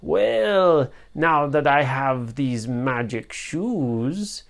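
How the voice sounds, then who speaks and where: A sneaky male pirate captain from a bedtime story, gloating and theatrical, voiced by a woman. He talks louder and a little higher than the storyteller's own voice, and he sounds pleased with his own mischief. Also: animated, extremely slow, creaky, exaggerated voice.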